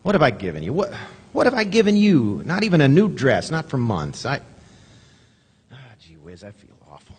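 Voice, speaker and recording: A man playing an earnest, excitable small-town family man in an old-time radio drama, stammering and repeating his words with a husky voice. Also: mid-range voice, moderate pace. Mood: despondent, regretful. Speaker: actor in a live radio drama. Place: theater stage, live performance. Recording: reverberant theater stage recording.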